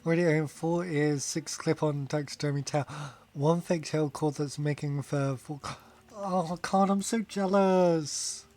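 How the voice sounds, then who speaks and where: A man's voice: on the high side, fairly even intonation, measured pace, darkish tone. A man chatting casually on a game stream, rambling, laughing often and reading chat aloud. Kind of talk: casual live-stream chat while gaming.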